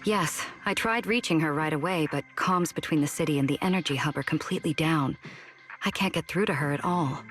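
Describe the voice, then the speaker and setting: Casting: serious, restrained colleague, low voice, game dialogue over music. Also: female voice, brisk, fairly bright tone. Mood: worried.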